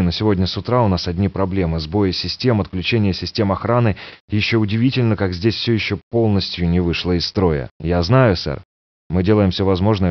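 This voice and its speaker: An actor playing a weary, steady male security guard in a game, matter-of-fact and instructive. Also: sits low, no room sound, dull audio, lightly acted.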